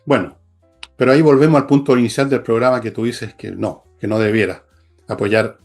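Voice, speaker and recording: A Chilean man hosts a political talk show in a mid-pitched voice. He is blunt and scornful, pressing his opinions emphatically and dropping in coarse slang, with music present in the recording. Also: fairly even intonation, brisk, a little mellow. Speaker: political commentator and show host.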